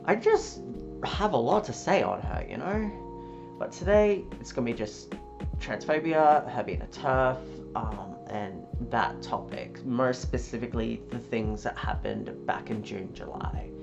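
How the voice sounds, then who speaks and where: A YouTuber talking in a casual opinion vlog, a little sick and sniffly, energetic and swearing freely. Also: mid-range voice, unhurried, creaky. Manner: energetic, swearing, with frequent cheers and laughs. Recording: dull lo-fi audio with guitar music behind.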